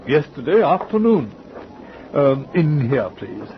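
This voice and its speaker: An actor playing a polite, composed sea captain who speaks formally and matter-of-factly, with small hesitations, in 1940s radio drama style. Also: male voice, mid-range voice, sing-song, takes it slowly. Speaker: ship's captain. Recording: dull old radio recording with clear hiss.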